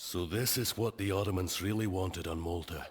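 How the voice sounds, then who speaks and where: A commanding Scottish knight captain. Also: male voice, low voice, brisk, husky. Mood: firm, guarded. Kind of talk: historical strategy game cutscene acting.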